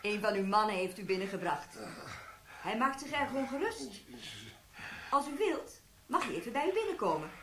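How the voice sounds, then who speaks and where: An actress playing a hospital nurse in a radio play, soothing and firm. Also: female voice, a little high, big pitch swings, normal speed, pauses often. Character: calm, brisk hospital nurse, adult woman. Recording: echoey room with background noise.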